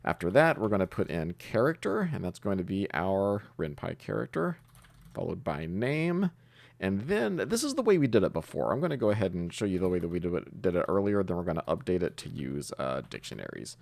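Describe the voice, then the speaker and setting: Deep, gravelly man giving an informal tutorial. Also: very animated, brisk, dry recording. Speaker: host of a programming tutorial channel. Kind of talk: informal step-by-step screencast tutorial.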